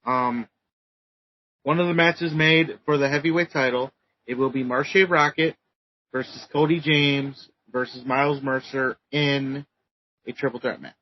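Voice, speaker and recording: A man co-hosting a podcast, casually recapping results with many ums and pauses. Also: mid-range voice, fairly even intonation, muffled recording. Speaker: podcast co-host. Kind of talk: casual chat.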